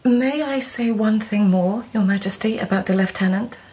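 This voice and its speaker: A woman playing a cool, astute lady-in-waiting in a radio drama, speaking formally and drily with a husky voice. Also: medium pitch, normal speed, dark tone, a little hiss or hum, close to an everyday voice. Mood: deferential. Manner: formal, crisp phrasing, dry.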